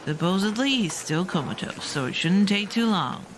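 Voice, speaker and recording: An actress playing a brisk, businesslike female charge nurse giving a patient report, in an audio drama. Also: mid-range voice, lively intonation, noisy background, natural voice. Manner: curt, businesslike.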